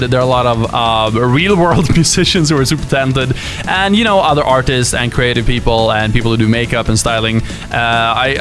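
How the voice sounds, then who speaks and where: A man from Sweden reads out fan questions and answers them in a loose, chatty way, voice swinging widely up and down. He laughs easily and fills pauses with uh and like. A faint bed of music sits underneath. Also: mid-range voice. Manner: lively, laughs often, fillers like uh and like. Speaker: YouTuber and musician. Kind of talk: casual Q&A, reading viewer questions.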